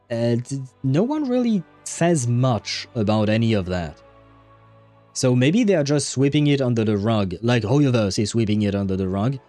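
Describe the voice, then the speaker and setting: A man's voice: medium pitch, dark tone. A man chatting casually on a gaming livestream, rambling and thinking aloud as he goes. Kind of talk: casual livestream chat about a game.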